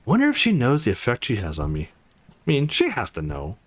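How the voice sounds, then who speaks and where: A man's voice: medium pitch, wildly swooping pitch, a little fast, slightly rough. A man voicing a smitten, worn-out young man in a visual novel, musing frankly and playfully to himself. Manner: musing, playful inner monologue.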